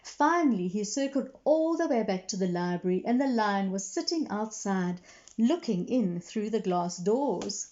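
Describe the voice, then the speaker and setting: A woman reading a children's story expressively, pausing to explain and put questions to young listeners. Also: medium pitch, animated, dark tone, dull audio. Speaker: read-aloud storyteller for children.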